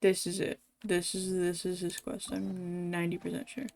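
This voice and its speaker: A woman's voice: lowish voice, little pitch movement, moderate pace, creaky, darkish tone, a little hiss or hum. A woman game streamer chatting casually to viewers as she plays. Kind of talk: casual livestream chat while playing a game.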